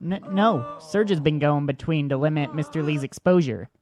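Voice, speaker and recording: A man's voice: a little high, normal speed, darkish tone, close to an everyday voice. An actor playing a nervous male doctor who stammers anxiously.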